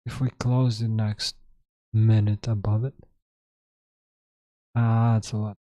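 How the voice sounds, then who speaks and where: Male trading streamer, hoarse throat, dark mellow tone, dry room, muttered live commentary. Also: lowish voice, flat delivery, dry recording. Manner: thinking aloud, halting, self-correcting. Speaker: trading livestream host.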